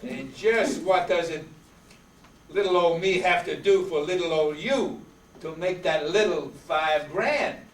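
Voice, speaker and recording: Man acting a high-voiced cocky noir wise guy. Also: a little slow, natural voice. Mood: cocky, teasing. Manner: slangy, sardonic. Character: cocky, flirtatious male noir wise guy, money-hungry. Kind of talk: 1950s radio noir acting on stage. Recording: echoey room, distant mic, background noise.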